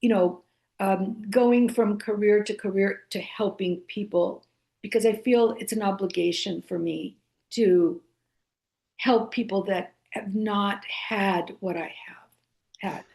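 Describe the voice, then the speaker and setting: A woman whose first language is Greek, a guest author speaking thoughtfully, with pauses and fillers. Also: mid-range voice, steady tone, gravelly, a little mellow. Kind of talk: casual conversational interview.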